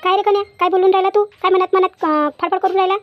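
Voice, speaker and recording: Woman as fussing cartoon auntie, Varhadi Marathi accent, rapid grumbling, bossy, dull lo-fi sound. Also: extremely high pitch, steady tone, no echo. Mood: scolding. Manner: scolding, grumbling, chatty. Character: bossy, fussing village auntie, caring about her workers. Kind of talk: cartoon comedy acting.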